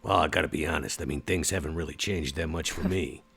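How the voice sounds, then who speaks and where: Man playing a gravelly, very deep-voiced sly sneak. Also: quick pace, a bit bright, exaggerated voice. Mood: dry. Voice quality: gravelly, husky. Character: Max, sly gravelly sneak who can vanish, dryly loyal. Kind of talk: improvised tabletop roleplay.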